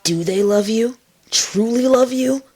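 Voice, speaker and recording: A woman's voice: on the high side, measured pace, darkish tone. A woman voicing a young female pony, blunt and protective, speaking earnestly and emphatically in a fan fiction drama. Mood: firm, reassuring.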